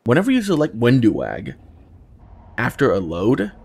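A man's voice: mid-range voice, wildly swooping pitch, moderate pace, vocal fry, darkish tone, husky. A man casually commenting on his video game run, with chatty and exasperated asides. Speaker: gaming channel host.

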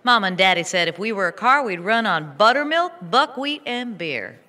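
Bright-voiced, sentimental small-town woman. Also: mid-range voice, animated, normal speed. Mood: wistful. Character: sentimental small-town woman remembering her diner family.

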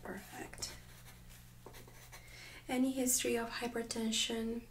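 A woman in her thirties speaking gently and very softly, often near a whisper, in an ASMR medical role-play as an examiner. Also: fairly high pitch, little pitch movement, slow, background noise. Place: small indoor room set up as exam office.

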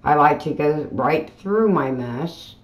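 A woman hosting a craft channel, talking through a tutorial in a casual voiceover with plain, unhurried step-by-step explaining. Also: low-pitched, animated. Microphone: voiceover mic.

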